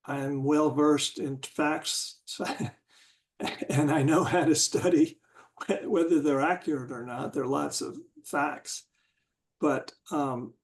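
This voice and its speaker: Guy with a kinda high voice, chatting in a group, slow and halting, lots of restarts. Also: steady tone. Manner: halting, searching for words with many restarts. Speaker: discussion group member. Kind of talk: casual group discussion.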